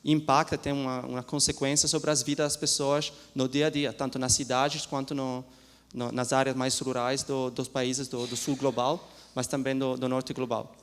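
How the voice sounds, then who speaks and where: A man, a law professor, giving a seminar talk in a lecture hall, speaking haltingly with many restarts and a mix of languages. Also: medium pitch, level tone. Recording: long hall reverb.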